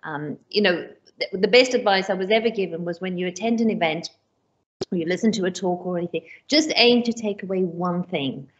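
A woman's voice: on the low side, brisk. A woman, hosting a conference and introducing speakers enthusiastically, with some um fillers. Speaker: conference host and lecturer. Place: conference room with screens. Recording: dull lo-fi conference stream audio.

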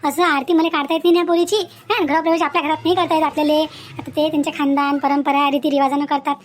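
A woman acts out an outspoken village mother from a cartoon family drama. She talks high and fast in rural Vidarbha Marathi, protective and indignant, hammering her advice home with chatty, emphatic repetition. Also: flat delivery, slight background noise, lightly acted. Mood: earnest, exasperated. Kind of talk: animated family story dialogue.

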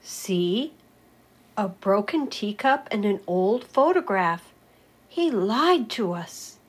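A woman's voice: mid-range voice, animated, slow, breathy edge. A woman voicing a suspicious, bossy fox in a storybook, speaking in a blunt, accusing and assertive way. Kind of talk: storybook read-aloud character voice.

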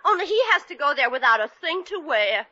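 A woman's voice: high-pitched, very animated, brisk, pushed and bright, no echo, highs cut off, full character voice. An actress playing a crooked, bossy, doting elderly mother in 1940s radio comedy, with colloquial, comically exaggerated delivery on an old recording. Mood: wheedling.

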